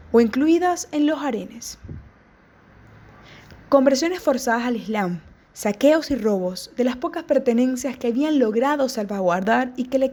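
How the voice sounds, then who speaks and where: A woman narrates from a script in a steady, measured voice. Her tone is soft and mellow, and it sits a little high.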